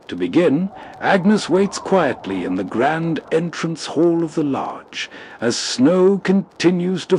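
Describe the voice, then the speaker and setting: A man presenting in a scripted, atmospheric narration style with a husky voice. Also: fairly high pitch, a little mellow, slight background noise.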